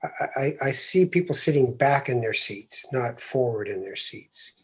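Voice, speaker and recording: A man hosting a podcast interview, speaking reflectively and growing animated as he makes his points, with easy laughs. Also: mid-range voice, normal speed, breathy edge. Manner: thoughtful, animated when making a point, laughs easily. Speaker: podcast host.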